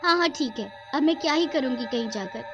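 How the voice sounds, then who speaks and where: A woman's voice: sits high, fairly quick, lo-fi sound, close to an everyday voice. A woman voicing a worried, complaining young wife in a cartoon story, fretful and nagging over music. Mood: upset.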